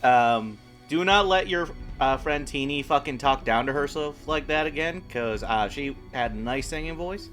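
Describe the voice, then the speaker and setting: Brief: excitable male stream host, bright voice, swears a lot, faint music under. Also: medium pitch, normal speed, few pauses. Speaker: game master and stream host. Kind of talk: casual banter on a live stream.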